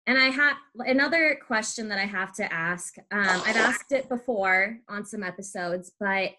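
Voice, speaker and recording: A young American woman with a bright, forward voice at a medium pitch. She hosts a casual interview, chatting easily and affirming her guest as she asks questions. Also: normal speed, a little hiss or hum, low-quality recording. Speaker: podcast host.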